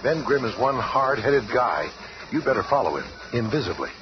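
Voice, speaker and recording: An actor playing a formal, commanding scientist and leader of superheroes in a 1970s radio drama. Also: male voice, mid-range voice, big pitch swings, normal speed, natural voice. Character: calm, authoritative male scientist superhero leader. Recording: muffled 1970s radio recording.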